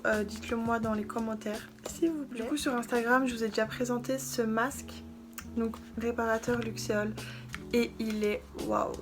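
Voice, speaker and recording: A young woman talks through her beauty haul in a chatty, gushing way, her voice sitting a little high. Clear background music plays under her casual vlog chat. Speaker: beauty vlogger. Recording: music playing behind.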